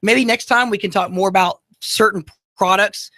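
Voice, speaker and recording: A man with a high, slightly goofy voice hosting local talk radio, talking to listeners directly in a folksy, salesy way. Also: fairly even intonation, moderate pace, bright, forceful tone, dry recording. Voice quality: high-pitched, goofy-sounding voice. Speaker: radio show host. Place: radio studio.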